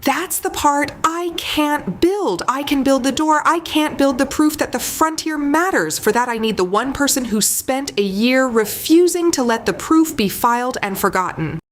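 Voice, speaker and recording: A woman playing a determined, quietly defiant negotiator in a sci-fi audio story, earnest and deliberate. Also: high voice, animated.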